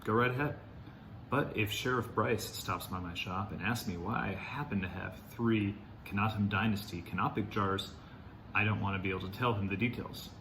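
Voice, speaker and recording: A man's voice: fairly low pitch, normal speed, a bit bright, a little hiss or hum. A man playing a wary, practical fantasy shopkeeper who fences stolen goods, speaking in a dry, sceptical manner. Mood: wary, stern. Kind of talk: dramatic audio fiction acting.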